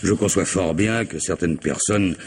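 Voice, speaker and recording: An actor playing a stern, probing police commissioner, questioning in a formal, measured way in an old radio drama. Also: male voice, sits low, slight background noise. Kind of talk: 1960s French radio drama acting.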